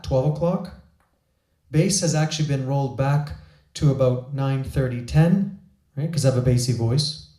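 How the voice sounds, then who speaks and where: A man's voice: fairly high pitch, mellow. A man hosting a gear review, talking in an enthusiastic, chatty way with small restarts, recorded on a shotgun microphone. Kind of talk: product review talk.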